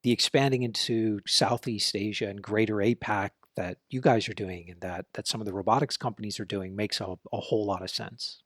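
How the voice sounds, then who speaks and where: A man's voice: fairly low pitch, fairly even intonation, a little fast, hardly any pauses, a bit bright, dry recording. Podcast host man, dry close sound. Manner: conversational, thinks aloud. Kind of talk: interview and solo commentary.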